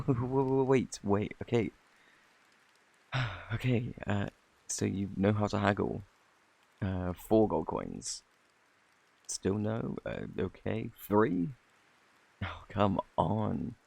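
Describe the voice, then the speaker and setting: A man with a British accent playing a flirty, eccentric fortune teller in a fantasy ASMR roleplay, with a theatrical, boastful, easily flustered manner and a husky, gravelly voice. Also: lowish voice, a little mellow, heavily acted. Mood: flustered, pleading. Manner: theatrical, flustered, coaxing, old-fashioned phrasing. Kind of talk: ASMR roleplay, intimate scripted acting.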